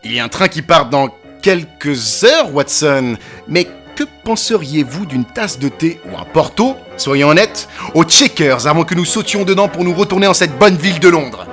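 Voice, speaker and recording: Male actor as eloquent, provocative detective, declamatory audio-drama delivery, animated pitch, faint music underneath. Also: moderate pace, few pauses. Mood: brisk, wry. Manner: theatrical, declamatory. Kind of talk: French audio drama acting.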